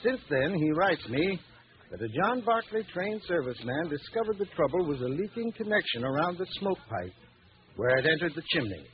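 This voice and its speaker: A man's voice: on the high side, sing-song, fairly quick. A man giving a scripted 1940s radio advertising talk as a sponsor's expert, in a folksy, conversational manner. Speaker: sponsor's home heating expert. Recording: muffled old radio, faint noise.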